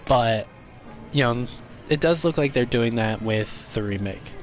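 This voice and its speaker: A man with a stuffy, congested voice chats through a game stream, offering his opinions in a relaxed, talkative way. He speaks slowly, at an easygoing pace. Faint music plays behind him. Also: mid-range voice. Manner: relaxed, opinionated, chatty with viewers. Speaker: gaming streamer. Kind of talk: casual chat while streaming a game.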